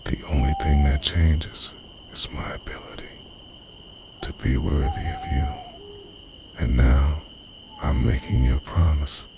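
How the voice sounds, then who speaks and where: A man's voice: extremely low pitch, huge pitch swings, unhurried, creaky, long pauses, background noise, heavily acted. A man playing an obsessively devoted time-travelling boyfriend in an intimate, earnest, half-whispered ASMR roleplay. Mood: solemn promise. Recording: night crickets and owl behind voice. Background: night insects, crickets and hooting owl.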